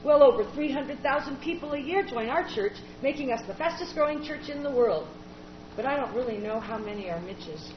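An actor playing an eager, earnest young sibling who chatters on. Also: female voice, sits high, animated, a little fast. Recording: echoey, dull recording with noise.

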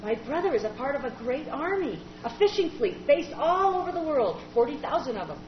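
An actor playing an eager, earnest young sibling who chatters on. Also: female voice, sits high, sing-song, fairly quick. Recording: echoey, dull recording with noise.

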